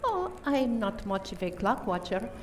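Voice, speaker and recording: A flirtatious, scatterbrained Spanish socialite, acted by a woman for a comic radio play. She gushes theatrically at an unhurried pace, leaning on her words. It is recorded a little distant, over faint background noise. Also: mid-range voice, very animated. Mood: gushing, coy. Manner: theatrical, gushing, drawn-out emphasis.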